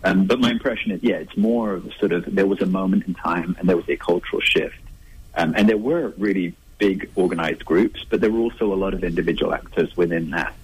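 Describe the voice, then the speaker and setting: A man's voice: low-pitched, brisk, husky, low-quality recording. A man, an author, answering interview questions in a thoughtful, conversational way with frequent restarts. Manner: thoughtful, restarts, laughs lightly. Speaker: author guest.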